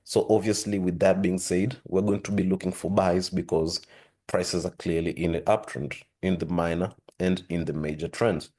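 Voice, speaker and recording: A man hosting a trading lesson, talking steadily through charts with a hoarse voice and frequent throat-clearing. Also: deep voice, normal speed. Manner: steady explanatory, repeats "with that being said". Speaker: forex trading channel host. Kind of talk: screen-share trading lesson.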